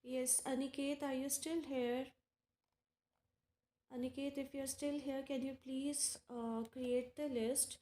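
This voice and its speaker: A woman with an Indian English accent, hosting a live tarot stream and chatting casually with viewers by name, unhurried and full of fillers. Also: high-pitched, little pitch movement, warm tone. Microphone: earphones with mic. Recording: echoey room.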